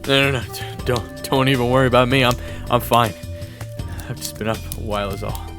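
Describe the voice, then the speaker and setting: A man's voice: medium pitch, voice pushed up, natural voice. A man voicing a wary, exhausted young soldier who stammers and swears defensively, in an audio roleplay with music behind. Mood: tired. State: exhausted and hungry.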